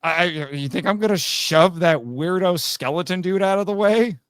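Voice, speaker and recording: A man's voice: high voice, normal speed, fairly bright tone, clean tone. A man speaking casually as a voice-actor guest on a podcast.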